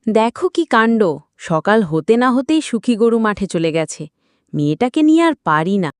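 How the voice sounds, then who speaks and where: A woman's voice: fairly high pitch, big pitch swings, normal speed, clear, resonant tone, dry recording. A woman voicing a caring, fondly exasperated village mother in a cartoon. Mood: fond exasperation. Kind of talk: cartoon dubbing.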